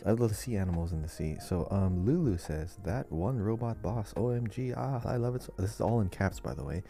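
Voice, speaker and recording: Low, creaky, very mellow man podcasting. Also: expressive, brisk, soft background music. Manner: reads out listener messages with chatty asides. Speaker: podcast co-host. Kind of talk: casual gaming podcast chat.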